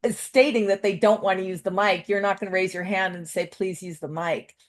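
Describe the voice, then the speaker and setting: A woman's voice: medium pitch, fairly even intonation, a little fast. A woman taking part in a seminar discussion, sharing advice in an earnest, rambling, conversational way. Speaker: seminar participant. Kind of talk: casual discussion.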